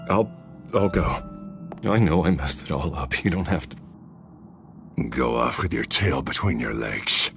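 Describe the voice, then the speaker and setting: A man's voice: extremely low pitch, animated, unhurried, creaky, pauses often, fairly bright tone, full character voice. An actor playing an anguished, unhinged man, pleading in guilt and fear with a breaking voice, in a video game drama. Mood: broken, remorseful. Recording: music behind the voice. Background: music.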